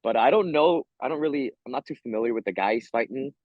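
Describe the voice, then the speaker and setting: An American man talks like a fighter in a relaxed interview, slangy and repetitive. His voice sits at a middle pitch. The sound is dry and a bit dull and lo-fi. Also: fast talker, no echo. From Hawaii, USA. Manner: slangy, repeats himself, says "man" often. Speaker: MMA fighter being interviewed. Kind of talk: casual interview chat.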